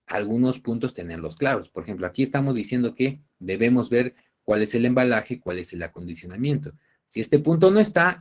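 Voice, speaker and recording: Peruvian guy teaching an online class, lowish voice, explains stuff step by step and keeps checking you get it. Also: a little mellow. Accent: Peruvian Spanish. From Peru. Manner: didactic, checking understanding with tag questions. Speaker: university lecturer. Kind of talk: online class explaining trade law cases.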